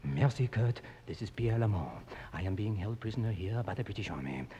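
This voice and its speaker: A man playing a flustered sportscaster who is being held prisoner, speaking, quiet and far from the mic. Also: lowish voice, lively intonation, fairly quick, muffled recording, a little hiss or hum, close to an everyday voice.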